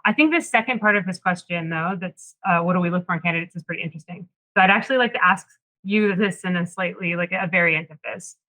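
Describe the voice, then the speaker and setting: A moderator on a careers panel with a bright, clear-toned voice at a middle pitch. They talk in a relaxed, conversational way and put questions to each guest in turn. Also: fast, poor audio.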